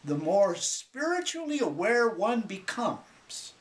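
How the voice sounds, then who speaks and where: A man reading a spiritual book as a scripted narration, in measured, deliberate phrases with frequent pauses. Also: high voice, big pitch swings.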